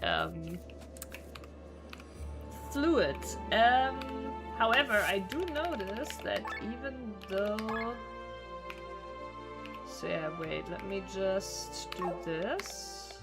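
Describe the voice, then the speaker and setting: A woman game streamer chatting casually while she plays, rambling and thinking out loud. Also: mid-range voice, animated, very slow, long pauses. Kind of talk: casual livestream chat while playing. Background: game music.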